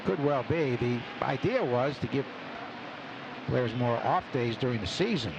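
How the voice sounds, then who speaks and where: A man's voice: mid-range voice, lively intonation, unhurried, gravelly, lo-fi sound. A man doing live play-by-play baseball commentary as a radio announcer. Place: open broadcast booth at outdoor ballpark. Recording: noisy outdoor ballpark radio broadcast. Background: loud stadium ambience.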